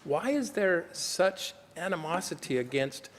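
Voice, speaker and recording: A man's voice: sits high, lively intonation, unhurried, noisy background. Earnest man speaking in a reverberant hall. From United States. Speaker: conference speaker, physician. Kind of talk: formal conference talk. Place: conference hall.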